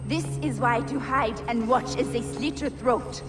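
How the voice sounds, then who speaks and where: An actress playing a grieving, vengeful young priestess in a video game cutscene, speaking solemnly and intensely. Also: female voice, a little high, moderate pace, over music, natural voice. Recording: game audio with loud score.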